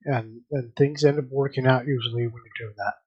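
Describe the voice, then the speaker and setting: A man's voice: medium pitch, fairly even intonation, brisk, breathy edge, lo-fi sound. A man co-hosting a book club podcast, chatting casually and stressing his points by repeating them.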